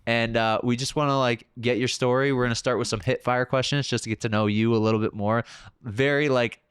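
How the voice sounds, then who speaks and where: A man's voice: mid-range voice, steady tone, fairly quick, clear, resonant tone, no echo, clean studio sound. A man hosting a podcast in a loose, chatty way, full of "like" fillers as he asks a guest casual questions. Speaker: podcast host. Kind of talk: casual interview chat.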